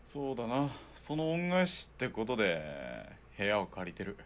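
A man acting in a radio drama as a wry, rough-spoken outlaw who works as a sniper's spotter. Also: fairly low pitch, wildly swooping pitch, moderate pace, fairly bright tone, slight background noise, exaggerated voice. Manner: gruff casual speech, dry retorts.

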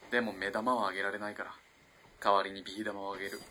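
An actor playing a polite, earnest young student in a radio drama, telling a story in a measured, musing way. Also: male voice, fairly low pitch, flat delivery, pushed and bright, a little hiss or hum. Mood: storytelling. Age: young adult.

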